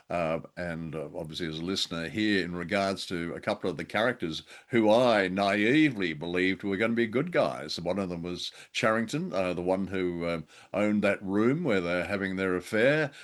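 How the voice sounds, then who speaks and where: A man with a fairly low voice talks casually as a show co-host. He feels his way through his sentences in a hesitant manner, with a dry, self-deprecating wit. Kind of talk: casual discussion of an old radio drama.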